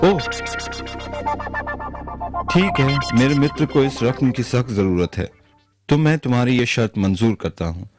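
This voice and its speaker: A man playing a kind, honest moneylender in a moral-story cartoon, speaking in a gentle, earnest way. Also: medium pitch, animated, a little slow, long pauses, faint music behind, lightly acted. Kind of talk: animated moral-story dubbing.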